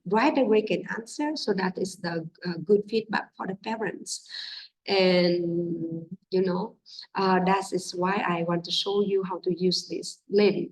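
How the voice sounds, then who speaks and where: A husky-voiced woman teacher whose first language is Vietnamese explains her methods to other teachers at an unhurried pace, pausing often on fillers. Her non-native English comes across steady and informal. She sits some distance from the microphone. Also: medium pitch. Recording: distant from the mic.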